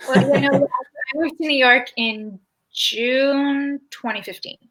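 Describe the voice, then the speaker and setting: A woman from Israel, a guest chatting easily over a video call with short, relaxed replies. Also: high voice, slow, over a noisy crowd, low-quality recording. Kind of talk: casual interview chat. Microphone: video call stream.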